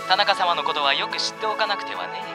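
An actor playing an unctuous, uncanny contractor of lives in a radio drama, speaking with exaggerated, theatrical politeness. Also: male voice, high voice, fast, gravelly. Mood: smoothly persuasive. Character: unctuous, uncanny male life contractor offering sinister favors. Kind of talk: Japanese radio drama acting. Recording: music behind the voice.

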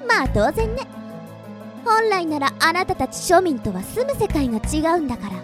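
A woman's voice: very high-pitched, sing-song, normal speed, over music. A woman playing an imperious little noble demon girl in a radio drama, pompous, condescending and easily offended. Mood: haughty. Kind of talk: Japanese anime-style radio drama acting.

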